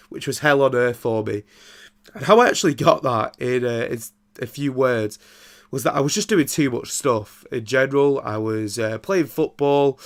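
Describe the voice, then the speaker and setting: Young podcast host with a blocked, nasal voice and plenty of gravel, chatting loosely at an ordinary pitch. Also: male voice. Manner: rambling, with many ums and restarts. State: congested and nasal from a throat infection. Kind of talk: casual solo podcast chat.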